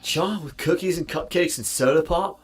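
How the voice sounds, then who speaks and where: A man's voice: on the high side, moderate pace, faint music behind, natural voice. An actor playing a laid-back, oddball slacker guy in a horror game, with slangy, goofy, offhand talk. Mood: offhand, baffled.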